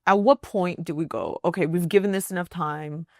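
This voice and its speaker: A woman with a slightly low voice hosts an interview. She talks in a loose, chatty way, full of casual fillers. The sound is dry and close. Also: normal speed, dry recording. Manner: casual, many likes and laughs. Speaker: podcast host.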